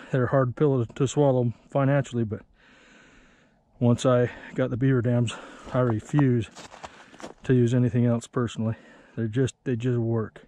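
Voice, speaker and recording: A man's voice: medium pitch, dark tone, slight background noise. Easygoing man on a frozen lake. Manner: easygoing, plainspoken, self-deprecating. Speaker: ice fishing vlogger. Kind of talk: casual how-to narration. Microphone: action camera worn on body.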